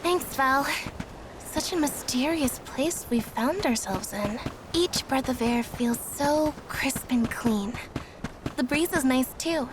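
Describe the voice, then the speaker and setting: High, slow, tender young woman. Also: background noise. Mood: wistful, tender. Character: gentle, devoted young village woman, dreamy and tender. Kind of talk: fantasy video game voice acting.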